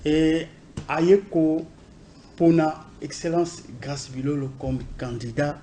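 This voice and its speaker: A man's voice: a little high, takes it slowly, mellow, a little hiss or hum. Hesitant, formal male interviewer. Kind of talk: political interview.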